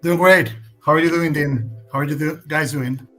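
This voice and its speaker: A man's voice: a little high, steady tone, normal speed, sharp tone, soft background music. A man in his forties from Mexico, a native Spanish speaker with a Mexican Spanish accent, giving opinionated answers as a guest on a casual stream, with frequent fillers and restarts.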